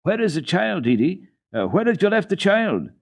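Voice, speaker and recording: A man's voice: high-pitched, sing-song, normal speed, gravelly, pitched above the performer's own voice, exaggerated voice. A man voicing nosy, reproachful village women in a radio storybook reading. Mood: prying. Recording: dull lo-fi old radio recording.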